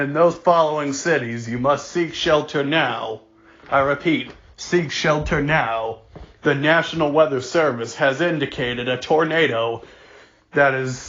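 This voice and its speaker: On a dull, lo-fi recording, a man delivers a mock emergency broadcast. His voice is forceful and a little high for a man. He speaks slowly and solemnly, as an announcer stressing each warning. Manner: solemn, deliberate, emphatic, stumbles and repeats words.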